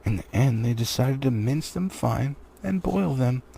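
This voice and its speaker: A man's voice: medium pitch, lively intonation, measured pace, vocal fry, warm tone, slightly rough. A man narrating a storybook expressively as an audiobook reader.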